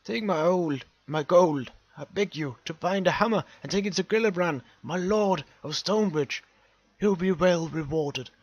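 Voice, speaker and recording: Man acting out a strained, delirious old dwarf warrior, higher-pitched. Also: dry recording, dull audio. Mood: urgent pleading. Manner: halting, imploring, repeating himself. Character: dying, delirious old male dwarf warrior, pleading urgently.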